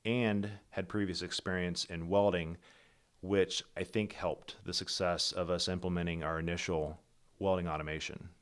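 A middle-aged man, the founder of a company, presenting a prepared keynote over slides in a steady, methodical, businesslike way, with the odd slip and restart. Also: low voice, smooth.

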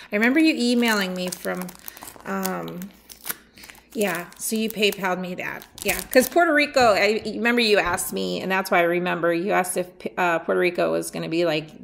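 A middle-aged woman chatting casually as she unboxes a swap package at home, rambling and thinking out loud, her voice a little worn as she comes down with something. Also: medium pitch, creaky, slight background noise. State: losing her voice, getting sick. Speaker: nail art swap host. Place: sunny room at home by a window.

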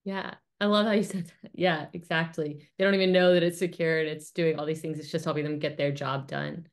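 A woman's voice: deep voice, steady tone, a little fast. A woman in her thirties chatting informally as an interviewer, affirming and conversational, on a video call from a small room. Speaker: interviewer, host. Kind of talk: casual interview chat. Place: small home office, indoors.

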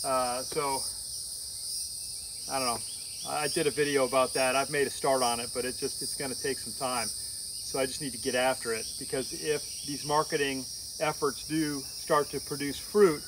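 A middle-aged man farmer talking casually to camera outdoors in a pasture, thinking aloud with frequent pauses and uhs. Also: mid-range voice. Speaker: farmer vlogger. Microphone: camera on a tripod a few meters away. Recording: outdoor camera audio with insect noise. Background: insects and crickets.

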